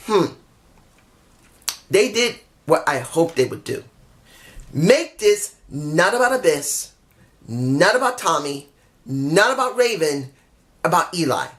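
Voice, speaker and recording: A man giving a candid, rambling review of a wrestling show, frustrated at times, with a voice that is going hoarse. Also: high voice, a bit bright. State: losing his voice. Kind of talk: casual opinionated review.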